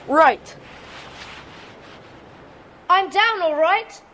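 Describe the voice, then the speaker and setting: A woman's voice: extremely high pitch, expressive, drawn-out words, sharp tone, heavily acted. A woman playing an eager, careful schoolboy gang member with clipped replies in a children's audiobook drama. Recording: echoing hall, faint noise.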